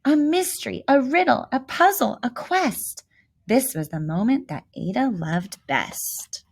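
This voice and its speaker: Very animated woman reading a storybook. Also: a little high, measured pace, vocal fry, husky. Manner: animated, theatrical emphasis with asides to young listeners. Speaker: volunteer reader of a children's picture book. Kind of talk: expressive read-aloud storytelling.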